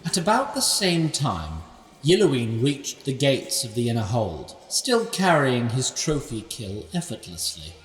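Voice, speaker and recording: A man's voice: mid-range voice, wildly swooping pitch, unhurried, echoey room, big-room sound, recorded from a distance, rough recording. A man narrating a fantasy audio drama as a wry, ancient sprite, with dry asides to the listener.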